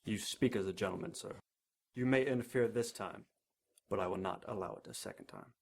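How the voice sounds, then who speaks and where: A man's voice: fairly low pitch, sing-song, fairly quick, gravelly, slightly rough, heavily acted. A man playing an arrogant, wealthy older industrialist in an audio drama, clipped and imperious. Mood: haughty. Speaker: client.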